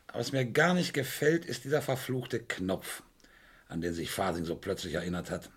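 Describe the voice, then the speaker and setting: Radio crime drama: authoritative male inspector, low-pitched husky voice, clipped and businesslike. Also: big pitch swings, moderate pace, full character voice. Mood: brooding. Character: brisk, commanding male police inspector. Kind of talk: German radio crime play.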